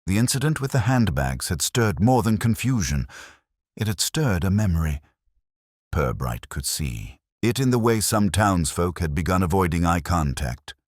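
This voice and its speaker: Very deep, husky male storyteller. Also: dry recording, studio quality. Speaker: narrator. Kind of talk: scripted mystery story narration.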